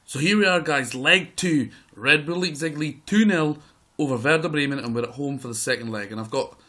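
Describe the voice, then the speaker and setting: Man, croaky voice, fairly high pitch, excitable live game commentary, play-by-play. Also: brisk. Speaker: gaming video host. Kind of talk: live commentary over a football management game.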